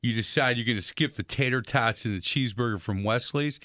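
A man's voice: medium pitch, a little fast, pushed and bright, dry recording. Sports radio host guy, joking around, dry close sound. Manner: jokey, upbeat.

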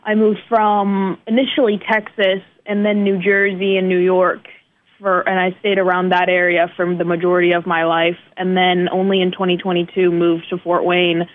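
A woman's voice: lowish voice, moderate pace. Young woman, guest interview, casual, many fillers, tinny phone line. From United States northeast. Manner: many fillers, relaxed and modest. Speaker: guest racing driver.

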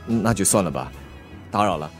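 A man's voice: deep voice, lively intonation, moderate pace, faint music behind. A man acting a shy, awkward suitor in a radio drama, hesitant and stammering, with a Singaporean accent. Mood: nervous, hopeful. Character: shy, awkward man hoping to reconnect with a woman.

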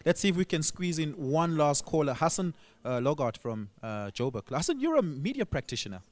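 South african guy hosting a radio interview, talks really fast. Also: on the high side, very animated, no echo. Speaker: radio host.

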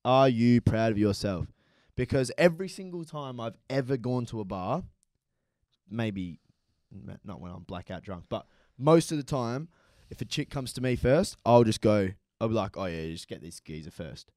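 A young man, co-hosting a podcast and telling crude, animated stories full of swearing. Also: mid-range voice, moderate pace, dry recording, clean studio sound. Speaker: podcast co-host. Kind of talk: casual chat.

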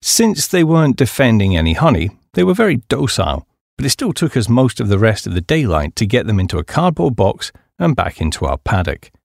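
A man's voice: medium pitch, sing-song, a little fast, gravelly, darkish tone, dry recording, studio quality. A middle-aged British man reading a humorous memoir as an audiobook, with a wry storytelling delivery. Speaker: audiobook narrator.

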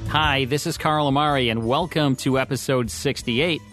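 A man's voice: mid-range voice, normal speed, fairly bright tone, soft background music. An American man co-hosting a podcast, reading scripted narration about radio history. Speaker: podcast co-host.

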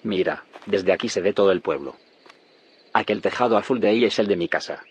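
Determined low-voiced male inventor. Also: a little fast, breathy edge. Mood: assured, intrigued. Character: male inventor of a time machine, determined, secretive. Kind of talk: audio drama dialogue.

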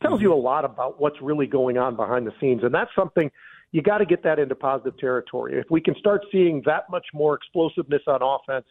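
Through a thin, phone-like connection comes an American man with a slightly high voice. He co-hosts a sports talk show, offering conversational commentary. He speaks haltingly, rephrasing his thoughts as he goes. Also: expressive. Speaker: sports talk radio co-host. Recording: thin, tinny phone-like line.

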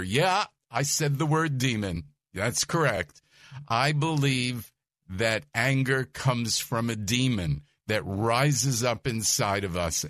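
Guy with a soft voice teaching bible stuff slowly, dry close sound. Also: medium pitch, no echo. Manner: numbered points, chuckles, asks listeners questions.

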